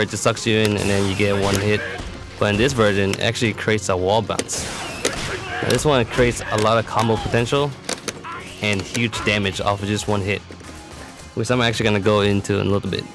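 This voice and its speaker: A man giving matter-of-fact, instructional commentary for a fighting game tutorial. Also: lowish voice. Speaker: competitive gamer presenting a character demo. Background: music.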